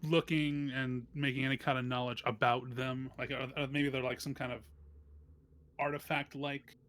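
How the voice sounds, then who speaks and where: A man playing a tabletop roleplaying game, chatting casually in a hesitant, halting way. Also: mid-range voice, little pitch movement, a bit bright, slight background noise.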